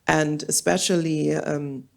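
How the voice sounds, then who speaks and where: A woman's voice: sits low, flat delivery, slow, gravelly. A woman from Germany speaking on a symposium panel, hesitant and searching for words, with many fillers. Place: auditorium.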